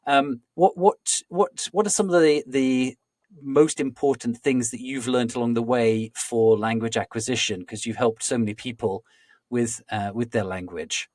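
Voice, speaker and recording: A man hosting a podcast, asking long, halting questions with many restarts and explaining with analogies. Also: mid-range voice, fairly even intonation, a little mellow, no room sound. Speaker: podcast host. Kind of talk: conversational interviewing.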